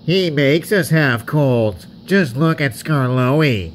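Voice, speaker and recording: A man's voice: mid-range voice, animated, slow, a little hiss or hum, voice pushed up. A man voicing a glum little male engine who has a cold, in a storybook parody. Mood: resigned. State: stuffed up with a cold.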